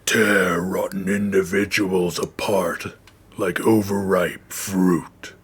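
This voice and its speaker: A man voicing a huge, imposing monster with a slow, menacing, grandiose delivery. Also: fairly even intonation, slightly rough, exaggerated voice. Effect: bass boost, pitch shifter, slowed playback.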